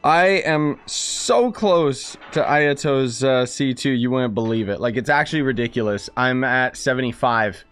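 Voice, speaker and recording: A man's voice: mid-range voice, a little slow, hardly any pauses, fairly bright tone. A young man giving casual, sarcastic, slangy commentary as a gaming streamer. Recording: game music behind the voice. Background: game music.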